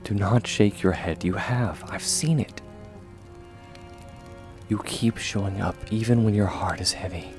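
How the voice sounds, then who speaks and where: A man playing a gentle, steadfast young swordsman mentor in a comfort ASMR roleplay, speaking softly and reassuringly, at times close to a whisper. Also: fairly low pitch, faint music behind, natural voice. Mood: earnest reassurance. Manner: soft, reassuring, measured, often near whisper.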